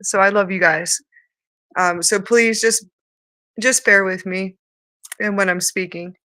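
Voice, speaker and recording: Woman channeler, bright sharp tone, strained altered voice, rhythmic chanted syllables mixed with loose chat. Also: mid-range voice, steady tone, unhurried. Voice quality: voice she says sounds changed and strained. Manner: chants flowing rhythmic nonsense syllables between plain remarks. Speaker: spiritual channeler. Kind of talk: light language channeling and casual talk.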